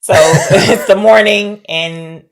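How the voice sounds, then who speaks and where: A woman's voice: mid-range voice, steady tone, slow. Female podcast co-host, mid-range voice, informal storyteller walking through events in a loose conversational way. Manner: informal, checks wording with co-host. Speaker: podcast co-host discussing films. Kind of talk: casual conversational plot recap.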